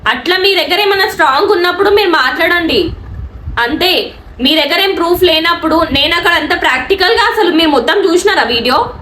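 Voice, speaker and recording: A woman with a Telangana Telugu accent argues her side in an insistent, defensive way, dropping in English words. Her voice is high and sharp. She is recorded in an echoey room as she addresses a critic directly. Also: lively intonation, brisk. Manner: indignant, defensive, insistent rhetorical questioning; mixes English words into speech. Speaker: video creator and online anchor. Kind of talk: spoken response video addressed to a critic. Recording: echoey room with faint background noise.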